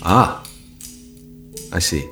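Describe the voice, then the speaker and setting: An actor playing a doubtful employer who probes a housekeeper with condescending questions. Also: male voice, drawn-out words, over music. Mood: probing. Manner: probing, condescending.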